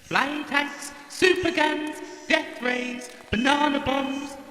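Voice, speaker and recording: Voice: high voice, fairly even intonation, slow, sharp tone, soft background music, full character voice. An actor voicing a fussy, chatty old cleaning robot with a genteel, motherly manner in a comic audio drama. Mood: nostalgic. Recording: echoey hall with noise.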